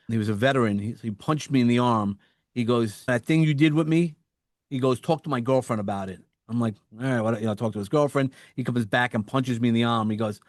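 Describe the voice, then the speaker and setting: A man with a New York accent, a coach talking as a podcast guest, energetic and quick to repeat words and act out quotes. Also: medium pitch, no room sound, studio quality. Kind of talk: casual interview chat.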